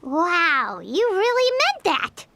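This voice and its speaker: A woman voicing a small, excitable, childlike game companion with a squeaky, eager delivery. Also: slow pace, background noise, exaggerated voice. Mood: excited. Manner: eager, exclamatory. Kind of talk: video game voice acting.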